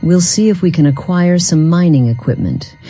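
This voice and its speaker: A woman voicing a weary, once-proud companion in a fantasy game, speaking in a formal, measured and reflective way. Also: on the low side, warm tone, no echo, faint music behind, natural voice. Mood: solemn, thoughtful. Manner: formal, measured, solemn wording. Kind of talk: scripted dialogue for a fantasy game mod.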